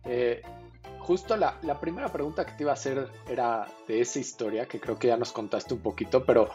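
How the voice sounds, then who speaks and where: A man from Mexico hosting a podcast, asking questions in a relaxed, joking manner. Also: mid-range voice, a little fast, soft background music. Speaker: podcast host. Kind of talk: casual interview talk.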